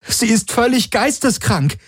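A man's voice: very high voice, lively intonation, unhurried, breathy edge, no echo, clean studio sound, pitched above the performer's own voice, heavily acted. A man voicing an alarmed but decisive young female starship pilot in an audiobook, with urgent, clipped delivery. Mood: horrified. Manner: urgent, clipped exclamations and orders.

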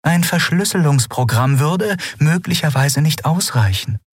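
A man's voice: on the high side, expressive, measured pace, husky, no room sound, studio quality, pitched above the performer's own voice. A man voicing a sorrowful winged alien woman. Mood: grave. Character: winged female alien, calm, sorrowful, telepathic.

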